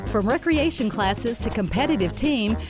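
A woman reading a scripted radio advertisement in a bright promotional way. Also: a little high, expressive, normal speed. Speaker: ad announcer. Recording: music bed under voice.

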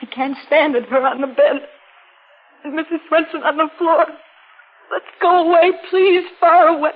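An actress playing a frightened, distraught young bride in 1940s radio drama style, breathless and pleading. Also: female voice, high-pitched, steady tone, moderate pace, lightly acted. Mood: pleading, desperate. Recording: muffled, noisy old radio broadcast.

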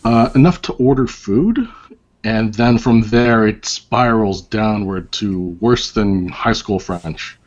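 A man's voice: fairly low pitch, slow pace. A man as an interview guest, chatting casually with lots of fillers and restarts and a wry, self-deprecating manner. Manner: rambling, many fillers and restarts. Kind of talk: casual conversation. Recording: dull lo-fi audio.

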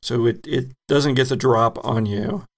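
A man running a tabletop horror game, narrating slowly and deliberately. His voice has a gravelly, creaky edge, and he lets small chuckles slip between descriptions. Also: mid-range voice, fairly even intonation. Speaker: game master.

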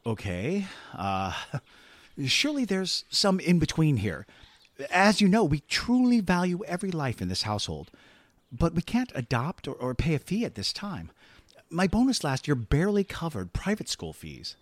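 A man's voice: fairly high pitch, huge pitch swings, normal speed, dry recording. A man acting in an audio play as a flustered, self-righteous husband, hedging and defensive. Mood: eager, uneasy. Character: flustered, self-righteous husband dodging responsibility, eager to appease.

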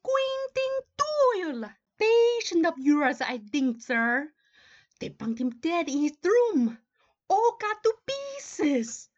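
A woman playing a gossipy servant bringing gruesome news in a horror radio play, with theatrical, deliberate delivery. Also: extremely high pitch, very animated, highs cut off, heavily acted. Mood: ghoulish, ominous.

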